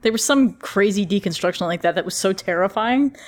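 A woman's voice: mid-range voice, lively intonation, brisk. A woman in casual, opinionated roundtable chat, speaking in a wry, blunt, colloquial way. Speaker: podcast panelist.

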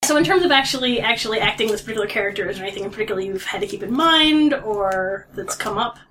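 A woman's voice: mid-range voice, animated, fast, a bit bright, a little hiss or hum, rough recording. A woman co-hosting a podcast about an audio drama, asking the cast questions in a wry, teasing way. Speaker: podcast co-host. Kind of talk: casual podcast chat.

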